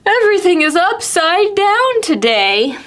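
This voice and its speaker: Woman doing an emphatic high-pitched storybook turtle. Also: big pitch swings, a little slow, fairly bright tone, heavily acted. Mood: frustrated. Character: earnest turtle, easily frustrated, storybook.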